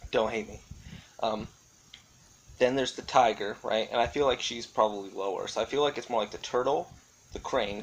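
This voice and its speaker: A man's voice: on the low side, rough recording. Rambling casual man over background noise. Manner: tentative, thinking aloud, often qualifying himself. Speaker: vlogger. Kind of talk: casual rambling solo commentary. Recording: clearly audible background noise.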